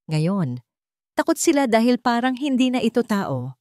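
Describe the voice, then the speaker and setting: A woman narrating a drama story in a measured, dramatic storytelling manner. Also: fairly high pitch, big pitch swings, a little mellow, no echo, clean studio sound.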